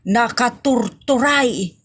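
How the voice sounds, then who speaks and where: A woman's voice: a little high, takes it slowly, slightly rough. A woman playing a scolding, meddling aunt in a comedy audio drama, with an Ilocano accent and a nagging, exasperated manner.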